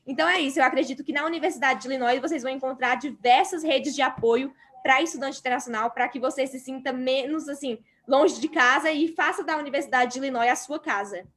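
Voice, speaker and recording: A woman's voice: sits high, quick pace, hardly any pauses, fairly bright tone. A Brazilian woman, a graduate student on an online panel, explaining earnestly with frequent hesitations. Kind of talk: panel talk answering a moderator.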